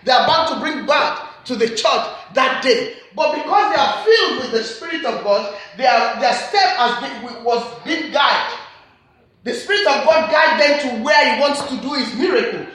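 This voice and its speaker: Bright-voiced Nigerian woman preaching in echo. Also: sits high, lively intonation, moderate pace. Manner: earnest, repetitive emphatic phrasing. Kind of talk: sermon. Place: church. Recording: very echoey church hall.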